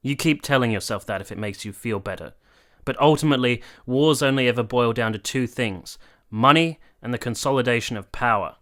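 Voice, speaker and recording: A man's voice: medium pitch, normal speed, a bit bright, lightly acted. An actor playing a cynical, bitter soldier captain in his late twenties in a period radio drama, dry and argumentative. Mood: scornful, emphatic. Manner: dry, argumentative, matter-of-fact.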